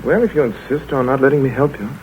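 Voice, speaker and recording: A man playing a calm English country doctor. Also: medium pitch, fast talker, natural voice. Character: level-headed English country doctor and narrator. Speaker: narrator. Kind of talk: 1930s radio drama acting. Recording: scratchy tinny old radio broadcast.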